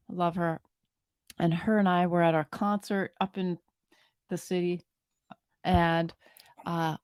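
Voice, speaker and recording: Woman, podcast co-host, low-pitched, skeptical and questioning, dry recording. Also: a little mellow, dry recording. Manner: skeptical, questioning, playful pushback. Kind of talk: casual chat.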